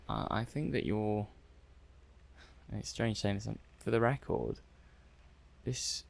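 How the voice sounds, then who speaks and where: ASMR roleplay casting: man as intrigued robot-testing technician, low gravelly fry, hesitant and musing. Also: a little mellow, a little hiss or hum. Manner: hesitant, musing, thinking aloud while taking notes. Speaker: roleplay performer. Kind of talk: ASMR roleplay, soft-spoken improvised monologue.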